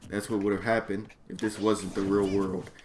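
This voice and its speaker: A man's voice: lowish voice, little pitch movement, normal speed. A man reading his own comedic story aloud in a slangy, profane, exasperated way. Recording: noisy background, mouse or mic clicks.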